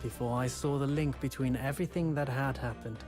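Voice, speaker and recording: An actor playing a reflective male hero narrating his memories in a video game, measured and solemn, with a British accent. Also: mid-range voice, steady tone, lightly acted. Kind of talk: scripted dramatic narration. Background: prominent game music.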